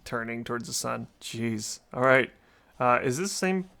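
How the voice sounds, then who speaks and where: A man's voice: mid-range voice, expressive, normal speed, gravelly, very noisy. A man giving casual reaction commentary as a video host, hedging his opinions as he goes. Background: music.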